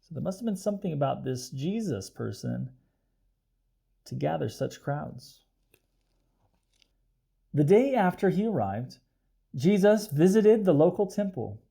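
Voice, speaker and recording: Male storybook reader, explanatory, addressing children, mellow, slow-paced, slightly high voice. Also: very animated, gravelly, slightly rough. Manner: explanatory, questions the young listeners. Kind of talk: expressive children's read-aloud with asides.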